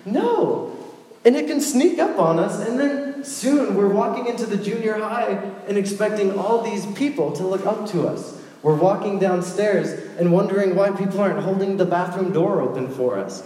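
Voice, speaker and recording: A young man preaching to a youth group in a casual, joking way, with lively asides. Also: sits high, moderate pace. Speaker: youth pastor. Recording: echoey hall with faint ambience.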